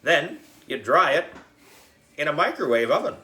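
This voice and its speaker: Man acting cheeky, animated third-grade boy. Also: on the high side, normal speed, roomy sound, voice pushed up. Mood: know-it-all. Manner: blunt, childish, expressive.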